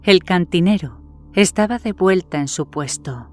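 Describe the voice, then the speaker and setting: Low-pitched woman narrating a horror story. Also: lively intonation, measured pace, soft background music.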